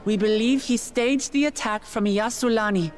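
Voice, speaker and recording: An actress voicing a dignified, resolute young royal woman in a video game, with solemn, measured delivery. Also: fairly high pitch, background noise, natural voice. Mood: solemn grief.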